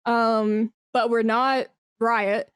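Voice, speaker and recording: Enthusiastic, higher-voiced woman in casual chat. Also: little pitch movement, very slow, clear, resonant tone, no room sound, clean studio sound. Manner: enthusiastic, informal, sweary. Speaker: podcast guest, esports brand manager.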